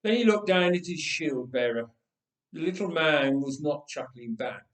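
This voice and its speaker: A man reading a story aloud with expressive, playful and dramatic narration, phrasing it deliberately. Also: fairly high pitch, far from the mic. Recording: roomy, echoing room sound.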